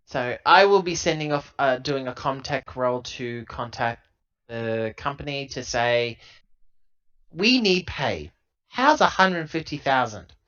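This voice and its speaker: A man's voice: mid-range voice, sing-song, slow pace, a bit bright. A man chatting casually as a player in a tabletop roleplaying game, on muffled lo-fi audio.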